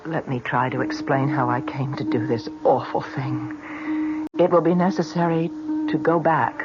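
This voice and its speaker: A haunted, brooding son played by a male actor tells his tale in an old radio drama. He speaks slowly and deliberately in literary phrases, over clear music on a dull recording. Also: medium pitch, steady tone, lightly acted. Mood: grim, haunted. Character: haunted grown son confessing a killing. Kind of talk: 1970s radio drama monologue.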